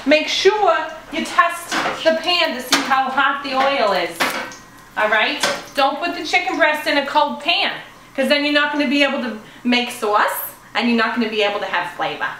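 Woman, 30s, high voice, chatty cooking host, echoey kitchen, distant camera mic, sizzling pan behind. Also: moderate pace, noisy background. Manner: chatty, explaining steps as she cooks. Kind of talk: casual cooking demonstration. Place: small home kitchen, indoors. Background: sizzling pan and stirring.

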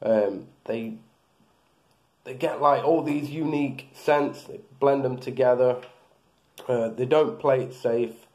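A man's voice: medium pitch, slow pace, darkish tone. A man in his thirties casually reviewing beard products, rambling with lots of fillers and restarts, filmed close in a small room.

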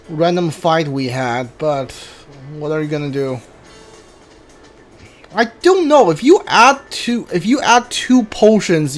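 A man giving casual playthrough commentary as a gaming streamer, rambling as he thinks aloud. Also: high-pitched. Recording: game music behind the voice.

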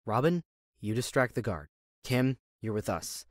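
A man's voice: medium pitch, lively intonation, measured pace, no room sound, studio quality, natural voice. A man acting a bossy, impatient heist crew leader in an audio drama. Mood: commanding.

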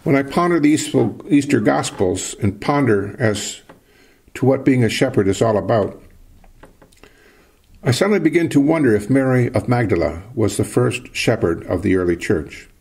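An older man, a priest from Canada, giving a sermon in a slow, measured preaching cadence with a husky voice. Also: medium pitch, animated, a little mellow.

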